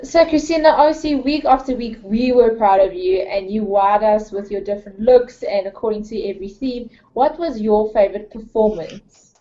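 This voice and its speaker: A female co-host asks earnest, encouraging questions. Her voice is a little high but soft and dark in tone, and it comes through a dull, lo-fi recording. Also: normal speed. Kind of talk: interview questions. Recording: dull lo-fi sound.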